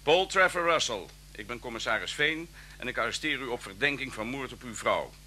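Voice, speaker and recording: An actor playing a stern, wary police commissioner in a radio drama, brusque and authoritative with clipped orders. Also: male voice, mid-range voice, expressive, a little fast, pushed and bright. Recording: faintly noisy old radio recording with hum.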